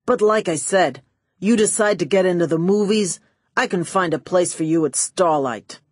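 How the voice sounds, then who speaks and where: A woman's voice: lowish voice, moderate pace, dry recording, natural voice. A woman voicing a brash, self-important, lecherous male Hollywood studio boss in an audiobook, boastful and pushy. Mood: pushy, oily.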